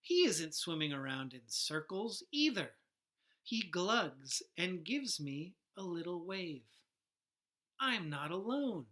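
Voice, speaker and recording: A woman reading a picture book aloud to young children as a teacher, animated and asking the listeners questions. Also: on the low side. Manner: animated, acts out sounds, asks listeners questions.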